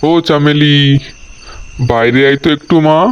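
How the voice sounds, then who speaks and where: A man's voice: a little high, fairly even intonation, moderate pace, lightly acted. A man playing an anxious, determined village father in a cartoon, speaking earnestly. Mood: worried. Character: worried, determined village father seeking his daughter. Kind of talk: cartoon acting. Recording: dull lo-fi audio with clear noise.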